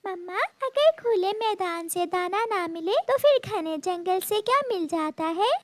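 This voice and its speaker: A woman's voice: extremely high pitch, measured pace, dry recording. A woman voicing a young girl bird in a cartoon, childlike, curious and earnest.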